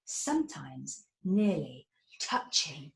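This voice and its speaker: A woman reading a rhyming picture book aloud in an animated, theatrical way. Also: low voice, takes it slowly, creaky, rough recording. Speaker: narrator.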